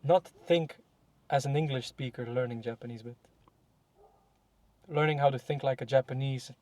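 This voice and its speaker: A man's voice: medium pitch, steady tone, moderate pace, darkish tone, no echo. A young man talking casually and reflectively in a podcast chat, with many fillers and self-corrections. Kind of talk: casual conversation.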